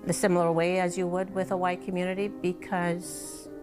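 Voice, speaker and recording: A woman giving measured, articulate explanations as a documentary interviewee. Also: lowish voice, flat delivery. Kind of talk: documentary interview commentary on environmental racism. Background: instrumental music.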